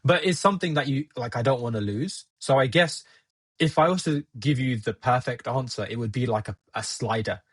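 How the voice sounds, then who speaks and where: Young man, first language Gujarati, from the UK; husky, close-miked, candid and self-deprecating. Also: medium pitch, fairly quick, dry recording. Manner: reflective, laughs at himself, talks it through. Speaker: podcast guest. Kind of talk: casual conversation.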